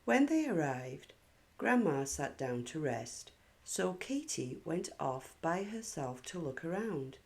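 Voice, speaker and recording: A woman reads stories aloud for children. Her voice sits low and moves at a leisurely pace, with lively rises and falls as she narrates. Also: takes it slowly. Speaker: host of a children's read-aloud channel.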